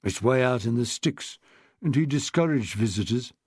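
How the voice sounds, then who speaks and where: A man's voice: mid-range voice, lively intonation, moderate pace, husky, no room sound, clean studio sound. A man voicing a guarded middle-aged policeman who answers in terse, clipped lines. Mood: grim.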